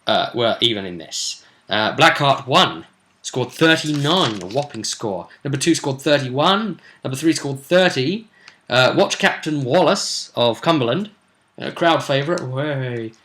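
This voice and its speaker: A man with a very bright, forward voice at a middle pitch talks through a tabletop role-playing session as its game master. He explains things informally and reports results with enthusiasm, dropping in chatty asides.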